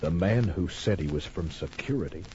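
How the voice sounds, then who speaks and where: A man's voice: sits low, animated, moderate pace, a little mellow, a little hiss or hum. An actor playing a wry, hard-boiled private detective who narrates his case in a laconic, cool way in an old-time radio crime drama. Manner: laconic, cool, clipped storytelling. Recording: muffled old radio recording with music.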